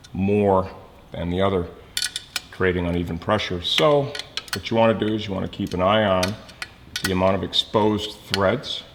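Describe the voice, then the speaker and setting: A man's voice: low voice, background noise. A man giving a casual hands-on tutorial, explaining each step as he works and checking in as he goes. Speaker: instructor, motorcycle mechanic demonstrating an installation. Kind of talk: hands-on how-to tutorial, talking while working. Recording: reverberant workshop with loud tool clatter.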